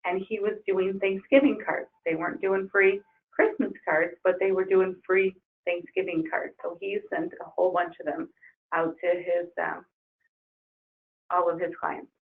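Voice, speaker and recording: A woman trainer losing her voice, leading an upbeat software webinar walkthrough. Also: lowish voice, level tone, normal speed. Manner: upbeat, enthusiastic promoting. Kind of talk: webinar screen-share walkthrough. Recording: tinny phone-like webinar audio.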